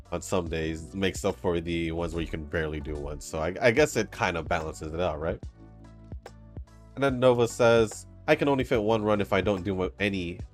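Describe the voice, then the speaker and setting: A man hosting a gaming channel, chatting casually over gameplay with a stuffy, congested nose, rambling and laughing between comments. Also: low voice. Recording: faint game music behind. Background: soft game music.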